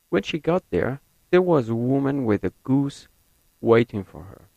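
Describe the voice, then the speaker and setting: A young man from Syria whose first language is Kurdish reads a set passage slowly and carefully, then talks about himself. He speaks English with an American-leaning accent. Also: mid-range voice, vocal fry, dark tone, no echo, poor audio. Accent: American-leaning non-native English. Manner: slow, careful and deliberate. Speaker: university student. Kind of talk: reading a set passage, then personal account.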